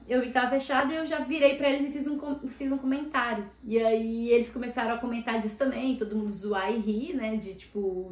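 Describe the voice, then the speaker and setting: Chatty woman vlogging in an echoey room. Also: a little high. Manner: chatty, animated storytelling with frequent asides. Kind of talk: casual chat.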